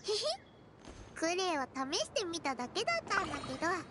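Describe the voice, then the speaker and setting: Very high, bubbly little girl. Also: sing-song, fast talker, noisy background. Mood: delighted. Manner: bubbly, childlike, speaks of herself by name. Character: excitable cheerful little girl mage. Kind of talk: Japanese anime game voice acting. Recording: music behind the voice.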